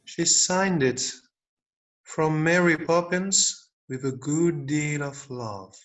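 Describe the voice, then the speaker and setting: A man's voice: on the high side, slow, fairly bright tone, poor audio. A man playing an earnest, affectionate young boy in an amateur script read-through. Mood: puzzled.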